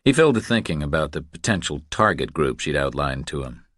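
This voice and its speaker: Casting: male audiobook narrator for scripted thriller prose, extremely low pitch, dry studio-close sound. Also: sing-song, a little fast, a bit bright, no echo.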